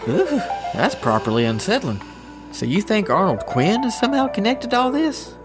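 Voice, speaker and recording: Goofy southern country dude in an audio drama, real animated, folksy storyteller, music underneath. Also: male voice, sits high. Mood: intrigued. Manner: folksy, drawn-out, excitable storytelling. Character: goofy, good-natured folksy country man, chatty secret agent. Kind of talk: scripted audio drama dialogue.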